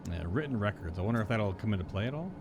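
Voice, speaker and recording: A man's voice: on the low side, lively intonation, rapid-fire, noisy background. A man reacting live to a game as he streams, with casual, murmured asides. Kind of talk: live game reaction and read-aloud of quest text. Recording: game music behind.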